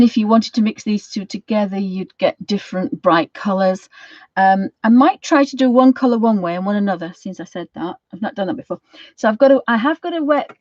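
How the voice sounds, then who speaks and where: Croaky British woman, chatty craft tutor. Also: medium pitch, a little mellow, dry recording, lo-fi sound. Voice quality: slightly croaky. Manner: rambling, homely, thinking aloud. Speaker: watercolour craft tutor hosting a live session.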